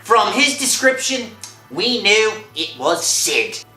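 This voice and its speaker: A man playing a gruff, suspicious, long-winded police constable in a 1960s radio drama. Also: sits high, very animated, slow, pushed and bright, faint music behind, exaggerated voice. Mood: suspicious, grumbling. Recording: old radio recording, echoey.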